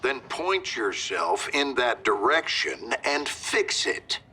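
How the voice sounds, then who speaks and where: An actor playing a stern, self-righteous general in a military game, measured and authoritative. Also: male voice, mid-range voice, sing-song, sharp tone, slight background noise, heavily acted. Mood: cold, defiant. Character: stern, defiant, self-righteous older male American general. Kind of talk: dramatic game acting.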